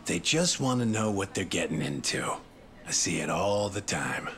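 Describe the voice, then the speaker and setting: An actor playing a sleazy middle-aged private detective in a video game, mocking and slangy. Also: male voice, low-pitched, expressive, normal speed, fairly bright tone, a little hiss or hum. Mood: smug, taunting. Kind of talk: video game cutscene acting, English dub.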